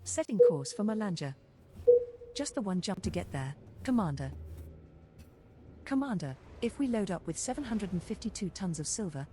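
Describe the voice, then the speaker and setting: A woman's voice: medium pitch, big pitch swings, normal speed, pauses often, background noise, lightly acted. A woman voicing a courteous, efficient spaceship computer assistant in a game, formal and precise. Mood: informative. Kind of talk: scripted game voice assistant announcements.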